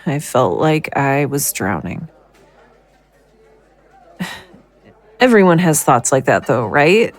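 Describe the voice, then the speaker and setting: A woman playing a guilt-ridden, worn-down young mother in an audio drama, speaking haltingly and emotionally. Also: low-pitched, darkish tone. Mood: weary, confessional. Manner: halting, emotional confession with sighs.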